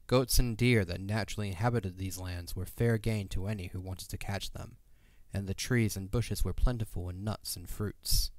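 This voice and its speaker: Low-voiced man narrating a story. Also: darkish tone, dry recording.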